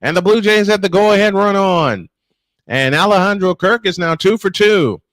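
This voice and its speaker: A man's voice: high-pitched, animated, moderate pace, a bit bright, dry recording. A man calling live baseball play-by-play in punchy, clipped phrases, mixed with casual chat. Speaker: play-by-play announcer and host.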